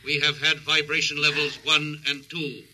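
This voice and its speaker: A man's voice: fairly high pitch, level tone, normal speed, bright, forceful tone. A man playing a cold, logical alien interrogator in a 1950s radio drama, speaking in a stilted, formal, mechanical way. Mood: coldly insistent.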